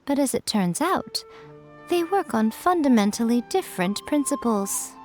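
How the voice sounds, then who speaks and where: A woman's voice: a little high, expressive, a little mellow, natural voice. Woman acting teasing, elegant fox spirit shrine maiden. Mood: composed, curious. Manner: playful, coy, smug, deliberate phrasing. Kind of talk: polished video game character acting. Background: music.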